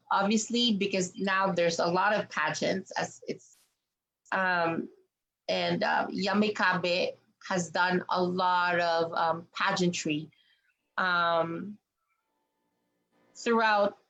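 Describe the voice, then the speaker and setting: Woman from canada, interview host, chatty and rambling, mid pitch. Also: a bit bright, low-quality recording. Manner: chatty, with many fillers and restarts. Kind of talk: online interview.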